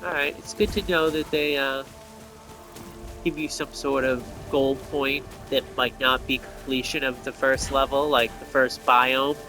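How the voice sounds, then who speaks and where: A man's voice: mid-range voice, level tone, measured pace, music in the background. A man giving casual gameplay commentary as host, thinking aloud and speculating as he goes. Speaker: gamer host of a gameplay video.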